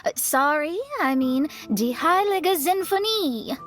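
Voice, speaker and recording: A woman's voice: sits high, expressive, slow, fairly bright tone, heavily acted. A woman voicing a proud, earnest young female astrologer in a video game, self-assured and a little prim as she explains herself. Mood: defensive.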